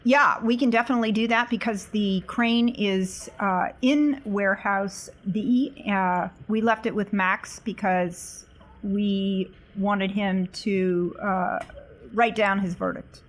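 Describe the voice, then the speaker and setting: A woman's voice: mid-range voice, steady tone, slow pace. A woman playing a tabletop roleplaying game, casually describing and improvising what her character does.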